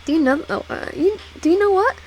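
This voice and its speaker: A woman's voice: extremely high pitch, lively intonation, quick pace, a little mellow, soft background music, pitched above the performer's own voice. A woman voicing a friendly young woman on a first date, upbeat, reassuring and a little teasing.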